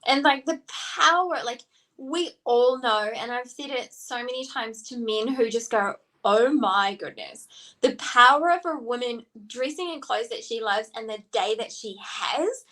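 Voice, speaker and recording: A woman with a New Zealand accent talks with bright enthusiasm, peppering her speech with "like." Her voice sits a little high, and she sounds like a podcast co-host in her thirties in casual conversation. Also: unhurried. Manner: energetic, enthusiastic, lots of "like" fillers. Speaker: stylist and co-host.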